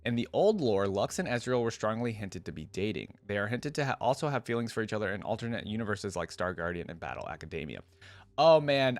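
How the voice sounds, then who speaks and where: A man's voice: mid-range voice, no room sound. A man hosting a reaction video, reading aloud and adding casual commentary.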